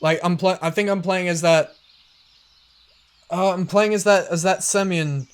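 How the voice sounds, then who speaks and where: A man giving excitable, rambling commentary on a visual novel he is playing, often breaking off mid-sentence. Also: sits high, unhurried, clean tone. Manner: excitable, rambling and self-interrupting. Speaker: let's player. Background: music.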